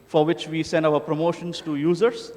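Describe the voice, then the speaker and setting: A man's voice: on the high side, flat delivery, moderate pace, a little mellow. A man from India giving a casual, joking conference presentation, with many filler sounds as he talks. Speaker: conference speaker. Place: large conference hall. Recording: hall reverb.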